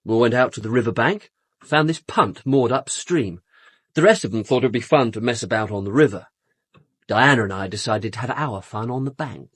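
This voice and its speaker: An actor playing a flippant, louche upper-class British suspect in a radio drama, speaking in a glib, theatrically casual manner. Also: male voice, mid-range voice, brisk, slightly rough, dry recording, close to an everyday voice. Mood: sardonic.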